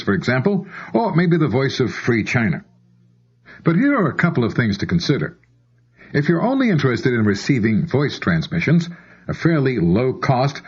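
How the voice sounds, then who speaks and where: A man's voice: medium pitch, husky. A man reading scripted educational narration for an instructional tape, explaining things directly to the listener. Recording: dull, lo-fi cassette tape transfer.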